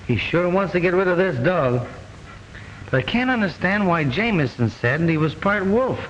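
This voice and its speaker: An actor playing a brash young detective who takes charge, wisecracking in a 1940s radio drama. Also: male voice, high voice, sing-song, normal speed, dull audio. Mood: commanding. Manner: breezy, commanding, wisecracking. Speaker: detective lead. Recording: old radio recording with hall reverb and faint hiss.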